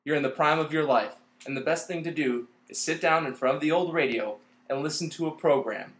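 A male host delivers scripted narration like an announcer. His voice sits slightly high, and the room adds clear echo. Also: brisk, a bit bright. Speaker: podcast host.

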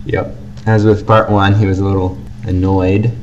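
Low-pitched man co-hosting a casual podcast. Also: little pitch movement, moderate pace, a little mellow, dull audio. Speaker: podcast co-host. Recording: faint background noise.

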